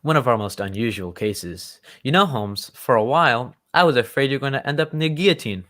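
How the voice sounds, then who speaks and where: A man playing a polite, earnest, loyal gentleman friend of a detective in an old-time radio drama, speaking with formal courtesy. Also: mid-range voice, animated, brisk, natural voice. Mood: curious, friendly.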